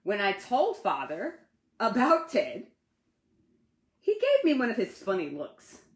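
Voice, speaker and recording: A woman reading a children's storybook aloud in an animated, dramatic storytelling manner. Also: medium pitch, takes it slowly. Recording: roomy, echoey sound.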